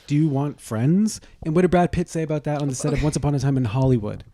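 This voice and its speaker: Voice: medium pitch, quick pace, warm tone, no room sound. A podcast co-host in casual comedic banter, riffing sarcastically and swearing freely.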